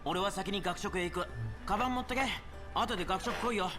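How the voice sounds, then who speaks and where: A man's voice: sits high, very fast, lightly acted. A man playing a brash, bossy teenage schoolboy in a Japanese video game, with blunt casual speech. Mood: impatient, bossy. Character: brash teenage schoolboy, pushy games-loving friend. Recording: game audio over music.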